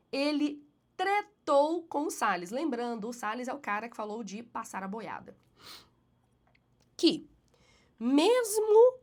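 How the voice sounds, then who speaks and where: Woman teacher with a cold, nasal voice. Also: on the high side. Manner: reads essay lines slowly with emphatic rises, then explains briskly. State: has a cold, slightly nasal. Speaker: essay-writing teacher. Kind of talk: essay correction lesson.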